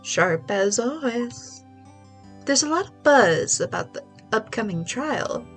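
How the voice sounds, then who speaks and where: Woman voicing a calm older-sister lawyer. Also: a little high, faint music behind, full character voice. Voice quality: stuffy. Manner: calm, measured, gently teasing.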